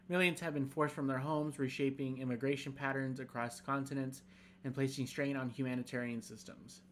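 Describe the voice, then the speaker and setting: A man's voice: mid-range voice, slight background noise. Casual, rambling young man. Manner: rambling, halting, many fillers. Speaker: podcast co-host. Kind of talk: casual chat, reading script aloud.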